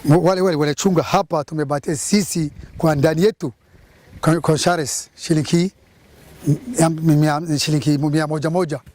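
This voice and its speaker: Male community interviewee, East African accent, slightly high voice, halting and repetitive delivery. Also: fairly even intonation, darkish tone. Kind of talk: spontaneous interview testimony.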